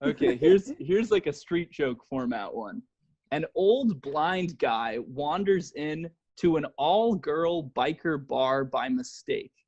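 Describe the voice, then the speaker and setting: Higher-pitched American man, lo-fi podcast sound. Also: expressive, slow pace, a little mellow, slightly rough. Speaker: podcast host. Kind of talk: casual comedy chat. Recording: dull lo-fi podcast audio.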